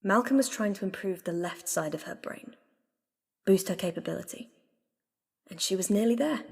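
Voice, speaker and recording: A woman's voice: mid-range voice, animated, moderate pace, vocal fry. A woman playing a knowing, principled doctor in a science-fiction audio drama, in a long reverberant space with music. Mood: grave. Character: knowing, principled female doctor who defends alien life.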